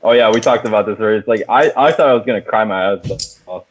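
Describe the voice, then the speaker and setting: A low-voiced man hosts a casual movie review podcast over thin, phone-like audio. He talks loosely and enthusiastically, with plenty of swearing and filler words. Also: fast. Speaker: podcast host.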